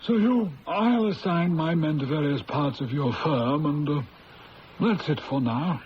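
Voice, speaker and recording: Man playing a shrewd, high-voiced police inspector. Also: natural voice. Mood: probing. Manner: measured, probing, dry. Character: shrewd, unhurried male police inspector in colonial South Africa. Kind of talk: old-time radio drama acting. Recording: dull lo-fi radio recording, faint hiss.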